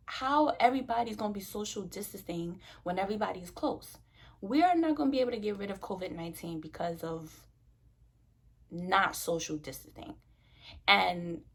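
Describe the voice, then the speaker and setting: A woman's voice: mid-range voice, breathy edge. A woman, talking frankly and passionately to the camera in a loose, conversational vlog. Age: 20s. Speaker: vlogger. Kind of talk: casual talk to camera.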